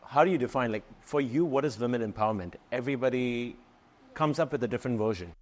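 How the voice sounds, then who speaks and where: Man, podcast host, mid pitch, brief casual questions. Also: expressive, fast. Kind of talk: interview questions.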